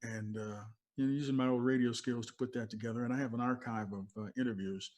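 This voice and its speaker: Older American male, veteran DJ as interview guest, mid-pitched, casual storyteller, emphatic and digressive. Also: steady tone, quick pace, darkish tone.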